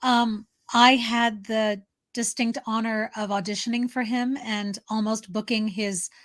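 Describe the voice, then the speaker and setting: A woman's voice: fairly high pitch, steady tone, a little slow. A woman chatting casually as a co-host, telling personal stories in an emphatic way. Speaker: talk show co-host. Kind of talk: casual anecdotal chat.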